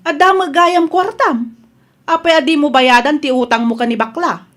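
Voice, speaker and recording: A woman's voice: sits high, moderate pace, a bit bright. A woman with an Ilocano accent playing a nosy, blunt neighbour in a radio comedy drama. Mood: pushy.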